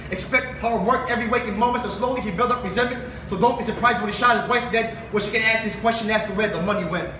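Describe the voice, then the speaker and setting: A man with a Filipino accent performing spoken word poetry and talking casually to the room at a live event. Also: high voice. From Philippines. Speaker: spoken word poet on stage. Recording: roomy, distant mic, noisy live venue.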